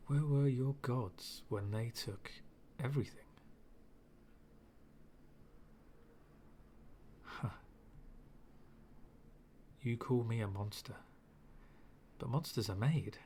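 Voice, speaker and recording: Over steady background noise comes a bitter, coldly philosophical villain, acted by a man. He speaks very slowly and broodingly, often sinking toward a whisper, with menace held in long dramatic pauses. The delivery is an intimate ASMR roleplay monologue. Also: medium pitch, lightly acted. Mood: taunting, bitter.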